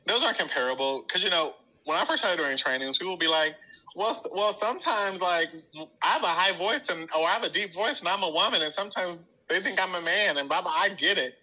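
Young adult guest, very bright voice, playful slangy chat, slightly muffled audio. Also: male voice, high voice, fast talker. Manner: animated, laughs often, slangy and playful. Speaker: podcast guest. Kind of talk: casual conversational interview.

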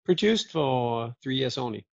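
A man chatting casually as a podcast guest, joking and telling stories in a lively way. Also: mid-range voice, a little slow, vocal fry, slight background noise. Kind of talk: casual chat. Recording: dull lo-fi audio.

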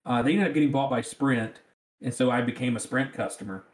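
A man's voice: medium pitch, a little fast. A man with a rural Southern American accent, chatting casually. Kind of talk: casual chat. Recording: roomy, echoing sound.

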